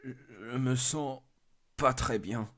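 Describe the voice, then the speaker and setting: A man's voice: mid-range voice, drawn-out words, fairly bright tone. A man voicing a sickly, injured rescuer in an amateur horror story, weak and grumbling. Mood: weak, resigned. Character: sickly, injured male rescuer, weary and wry.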